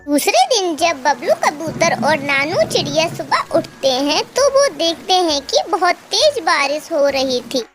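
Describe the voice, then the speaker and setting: A woman's voice: very high-pitched, big pitch swings, measured pace, few pauses. A woman narrator reading a scripted children's cartoon story.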